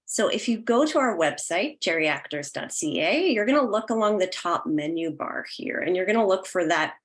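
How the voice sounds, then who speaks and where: A woman's voice: on the low side, animated, normal speed. A woman hosting an online event in a casual, instructional way. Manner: informal, instructional. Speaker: host.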